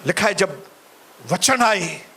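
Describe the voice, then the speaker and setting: A male pastor preaching a sermon, calling out to the congregation and later praying softly. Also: animated, a crowd in the background. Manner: preaching, rising to shouted calls to the congregation; soft, low, slow in prayer. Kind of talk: sermon and closing prayer.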